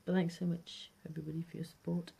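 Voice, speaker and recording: Low-voiced rambling British woman vlogger. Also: little pitch movement, fast, creaky, mellow, slightly rough. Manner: rambling, hesitant, often trailing off and repeating. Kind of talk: casual food diary chat to camera. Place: at home. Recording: noisy amateur home video.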